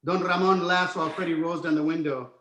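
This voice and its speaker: Male narrator, fairly high pitch, roomy echo, scripted stage directions. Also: steady tone, a little fast, fairly bright tone, slight background noise. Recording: echoey room.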